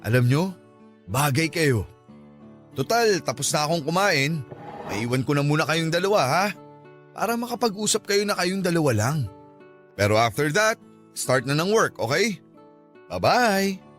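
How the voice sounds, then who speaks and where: An actor playing a teasing, playful middle-aged boss in a radio drama, animated in manner. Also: male voice, fairly high pitch, normal speed, pauses often, soft background music. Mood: teasing, amused. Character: teasing, playful older male boss matchmaking his young staff. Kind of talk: Tagalog radio drama acting.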